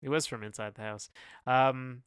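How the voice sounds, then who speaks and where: Clear-voiced man chatting on a dry podcast. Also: mid-range voice, fairly even intonation, normal speed, a bit bright, no room sound. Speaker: podcast co-host. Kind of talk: casual chat about music.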